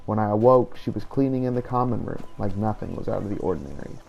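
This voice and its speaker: A man narrating a scripted fantasy journal in measured storytelling style. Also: on the low side, animated, gravelly, darkish tone, highs cut off. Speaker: gameplay narrator.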